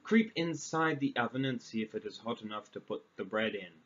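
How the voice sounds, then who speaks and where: A man's voice: medium pitch, animated, a little fast, fairly bright tone, close to an everyday voice. Man putting on a wicked old storybook witch, dull recording. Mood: coaxing. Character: wicked old witch, a child-eating woman, cunning and impatient. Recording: dull lo-fi audio, clear background noise.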